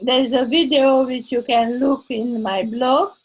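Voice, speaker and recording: Older woman, English; slow, halting, high-pitched delivery over a video call. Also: fairly even intonation, slightly rough, rough recording. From Transylvania, Hungary. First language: Hungarian. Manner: halting, searches for words, non-native grammar. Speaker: Toastmasters member and blogger. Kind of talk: casual video call chat.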